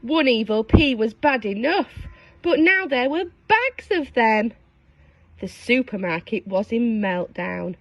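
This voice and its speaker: Expressive, slow-paced woman reading storybooks. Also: a little high, dry recording, lo-fi sound. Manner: dramatic, asks listeners questions. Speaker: storybook reader. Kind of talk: expressive read-aloud for young children.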